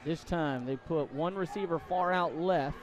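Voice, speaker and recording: A man doing live play-by-play commentary of a high school football game. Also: measured pace. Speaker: play-by-play announcer.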